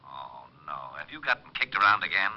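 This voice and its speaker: A man's voice: low voice, big pitch swings, brisk. A man playing a long-suffering, exasperated police lieutenant who grumbles and barks sarcastically in an old-time radio drama. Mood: pained grumbling. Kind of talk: 1940s-50s radio drama acting. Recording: noisy, dull old radio recording.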